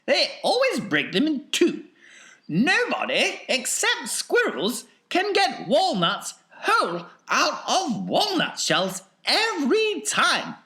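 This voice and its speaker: A man reading aloud and voicing an excitable, eccentric male factory owner who explains things eagerly and insistently. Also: very high-pitched, takes it slowly, pitched above the performer's own voice, full character voice. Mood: enthusiastic. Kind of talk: audiobook character voice.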